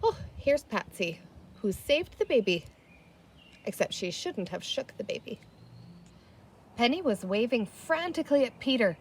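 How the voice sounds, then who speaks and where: A woman's voice: on the high side, sing-song, measured pace, long pauses. A woman reading a children's novel aloud outdoors, telling the story expressively and voicing the dialogue. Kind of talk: expressive read-aloud narration with character dialogue. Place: outdoors in the open air. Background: birdsong, wind chimes, a barking dog.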